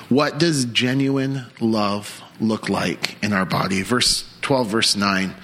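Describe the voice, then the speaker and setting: Brief: young male pastor, earnest sermon delivery, bright forceful tone, faint room ambience. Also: on the low side, a little hiss or hum. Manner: earnest, with frequent restarts and pauses.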